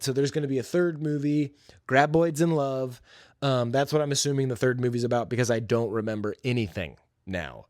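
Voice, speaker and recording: A man's voice: fairly high pitch, no echo, studio quality. A man hosting a podcast, giving an informal, opinionated and enthusiastic movie review in casual, slangy talk. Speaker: podcast host.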